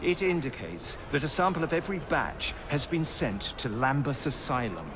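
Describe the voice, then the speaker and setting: An actor playing an elderly, dignified Victorian naturalist with a British accent, speaking formally and quick to take offence. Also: male voice, mid-range voice, very animated, noisy background, natural voice. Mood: measured, cautioning. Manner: formal, genteel, articulate. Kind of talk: video game voice acting.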